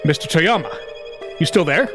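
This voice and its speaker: A brash, impatient man with a high, strained voice that shoots well above the narrator's own, loud and pushy, as a male narrator puts him on. Faint music plays under the audiobook reading. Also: animated, moderate pace, natural voice. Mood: impatient, alarmed.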